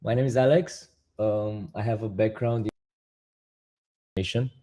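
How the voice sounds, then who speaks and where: A man with a Romanian accent talks through his project as a guest, in a low-pitched, warm, mellow voice. He restarts his sentences often and builds his points step by step. The sound is casual and conversational. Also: steady tone, moderate pace. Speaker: guest, crypto project founder. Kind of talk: casual interview talk.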